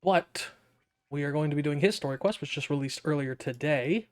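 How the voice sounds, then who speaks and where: Casual, slightly high-voiced male gamer. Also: a little fast. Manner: rambling, self-interrupting. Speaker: gaming video creator. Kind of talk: casual gameplay commentary.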